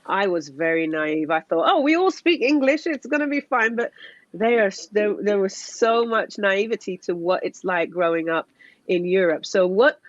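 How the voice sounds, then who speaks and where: A woman's voice: medium pitch, expressive, normal speed, no room sound, highs cut off. A woman hosting a sports interview show, chatty and self-deprecating as she asks questions. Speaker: show host, former Olympic athlete.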